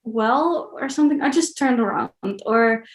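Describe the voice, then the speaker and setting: Dutch-accented woman, highish voice, echoey room. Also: moderate pace. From Netherlands. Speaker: interview guest, solo traveller. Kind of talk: casual interview chat.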